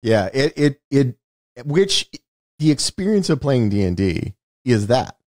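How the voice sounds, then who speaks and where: A man's voice: medium pitch, very animated, unhurried, darkish tone, dry recording, studio quality. A man co-hosting a podcast, explaining film and game details in a knowledgeable, casual way. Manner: explanatory, knowledgeable, repeats words when starting. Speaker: podcast co-host. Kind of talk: casual movie discussion.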